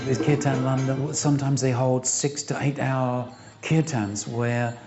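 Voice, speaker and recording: A man's voice: mid-range voice, fairly even intonation, measured pace. A man talking casually in an interview, with a rambling, hesitant flow. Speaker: temple devotee interviewee. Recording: dull lo-fi with soft music.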